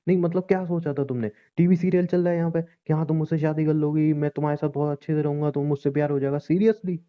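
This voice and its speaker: An actor playing a young, wounded, estranged husband in a relationship drama, speaking in a curt, confrontational way and repeating himself. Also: male voice, on the high side, steady tone, quick pace, smooth, lightly acted. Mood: bitter, hurt. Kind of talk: Hindi audio relationship drama, naturalistic dialogue. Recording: dull lo-fi recording.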